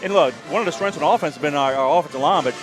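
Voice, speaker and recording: A man's voice: a little high, rapid-fire. Man calling home-team football play-by-play. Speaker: play-by-play announcer. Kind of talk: high school football play-by-play. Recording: music in background.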